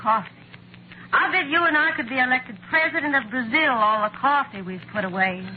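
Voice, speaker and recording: A woman's voice: high-pitched, fairly quick, natural voice. An American woman acting as a fed-up, plain-spoken secretary in a 1940s radio drama, informal and exasperated. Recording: muffled, hissy old radio recording.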